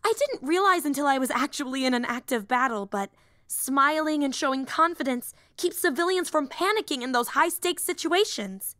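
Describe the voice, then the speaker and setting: A woman voicing an excitable, nerdy young female superhero in an audio roleplay, earnest and rambling, often apologizing and interrupting herself. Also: sits high, normal speed, no room sound, clean studio sound. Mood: earnest, enthusiastic. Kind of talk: roleplay audio drama acting.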